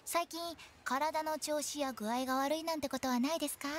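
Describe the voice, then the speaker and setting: A woman's voice: high-pitched, steady tone, brisk, a little hiss or hum, close to an everyday voice. A woman playing a mischievous, cheeky teenage girl funeral director in an anime game, with playful, theatrical sales patter. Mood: salesy. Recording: music behind the voice.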